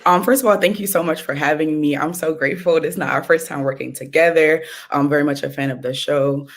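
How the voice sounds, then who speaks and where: A woman's voice: low voice, fast. A woman from Trinidad and Tobago, a guest activist, speaking earnestly and with passion over a remote call. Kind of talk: casual podcast interview. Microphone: remote call mic.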